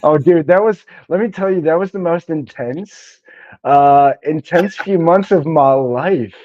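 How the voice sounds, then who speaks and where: A man's voice: a little high, a little slow, dark tone, no room sound, lo-fi sound. A male podcast host who chats enthusiastically and laughs often. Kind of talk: casual interview chat.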